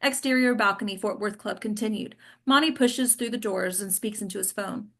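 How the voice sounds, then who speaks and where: A woman's voice: mid-range voice, fairly quick. A woman reading screenplay action lines as scripted narration at a table read. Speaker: stage directions reader.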